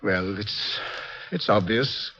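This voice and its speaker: An actor playing a composed scientist who explains things deliberately and dryly in a 1950s radio drama. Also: male voice, lowish voice, sharp tone, full character voice. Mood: musing, explaining. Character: composed, lecturing male scientist at a doomed observatory. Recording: dull lo-fi 1950s radio recording.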